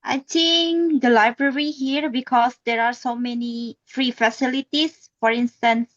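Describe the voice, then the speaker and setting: A woman's voice: a little high, fairly even intonation, a little slow. A woman from Indonesia, a student, answering practice exam questions carefully over a video call. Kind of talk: practice speaking test answers. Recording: dull lo-fi call audio.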